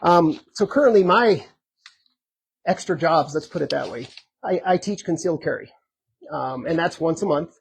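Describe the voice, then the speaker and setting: A man running for sheriff, answering audience questions at a community meet and greet in an earnest, conversational way, hoarse and full of filler ums. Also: on the high side, expressive, normal speed, long pauses. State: hoarse, losing his voice. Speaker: sheriff candidate.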